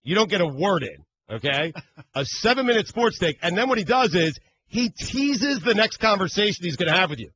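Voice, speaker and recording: A man's voice: sits high, big pitch swings, fairly quick, bright, forceful tone, dull audio. A man co-hosting a sports radio show, telling rambling, joking stories in casual chat. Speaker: radio co-host.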